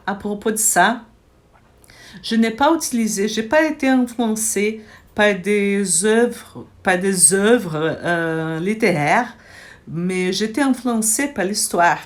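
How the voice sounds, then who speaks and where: Brazilian-accented woman vlogger, unhurried and halting, talking into a handheld phone, native Portuguese speaker working through French. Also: mid-range voice. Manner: hesitant, many restarts and fillers. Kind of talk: casual chat to camera. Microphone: phone held in hand.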